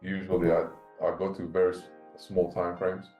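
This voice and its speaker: A man's voice: deep voice, completely flat delivery, normal speed, dull audio, soft background music. A man joining a live trading stream, casually talking through how he manages his trades. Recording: echoey room sound.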